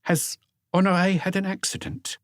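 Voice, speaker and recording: A man voicing an anxious, grieving woman in her forties, gentle and hesitant, in an audiobook. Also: high voice, completely flat delivery, moderate pace, no echo, studio quality, pitched above the performer's own voice, close to an everyday voice. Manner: hesitant, apologetic.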